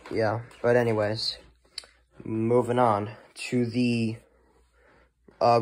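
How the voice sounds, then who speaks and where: A man with a medium-pitched voice talks casually through a show-and-tell. He is unscripted and halting, letting sentences trail away. He is recorded indoors in a home room. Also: darkish tone. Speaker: hobbyist presenter of a weather radio collection.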